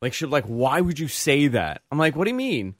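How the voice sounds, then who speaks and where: A man's voice: fairly high pitch, sing-song, a little fast. A man co-hosting a talk show, bantering casually in a teasing, joking way.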